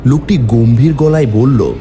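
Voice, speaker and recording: A man's voice: medium pitch, normal speed, mellow, soft background music. A man narrating a cartoon horror story in the first person, theatrical and exclamatory when voicing the dialogue.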